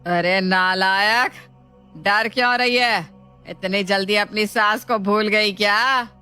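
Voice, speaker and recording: A woman voicing the domineering, taunting ghost of an older mother-in-law in a storybook drama, scolding in drawn-out phrases. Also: mid-range voice, fairly even intonation, bright, forceful tone, clean tone, soft background music. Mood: scornful, scolding. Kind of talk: Hindi moral storybook drama.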